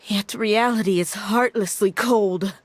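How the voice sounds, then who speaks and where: A woman's voice: medium pitch, a little slow, no echo, soft background music, natural voice. A woman playing a sensitive, polite young woman in a game, speaking with earnest emotion. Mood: wistful. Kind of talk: animated game dialogue.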